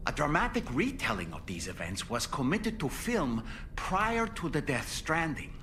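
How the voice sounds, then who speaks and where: A man's voice: medium pitch, pushed and bright, slight background noise. A man acting as a theatrical, wry storyteller, delivering dramatic scripted narration in a video game.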